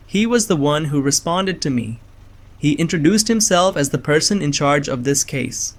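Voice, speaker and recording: A young man playing a bewildered innocent framed as an assassin, narrating his own story in a scripted drama. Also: a little high. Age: young adult. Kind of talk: first-person scripted narration with dialogue.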